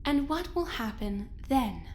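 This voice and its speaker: A woman playing a condescending, controlling corporate superior in an audio drama, speaking deliberately with drawn-out emphasis. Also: sits high, expressive, slight background noise. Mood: mocking, cold. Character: smug, controlling corporate woman taunting a subordinate.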